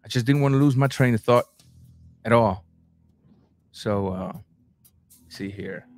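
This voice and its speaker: A man from Cuba, hosting a casual boxing livestream and reading viewer comments, animated, stumbling over his words and jumping up in pitch. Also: a little slow, soft background music. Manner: animated, repeats words and restarts, rising to high bursts.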